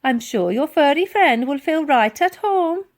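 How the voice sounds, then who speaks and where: A woman's voice: high voice, animated, a little slow, pitched above the performer's own voice. A woman voicing an anxious, good-natured man in a storybook, earnest and eager to reassure. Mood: reassuring.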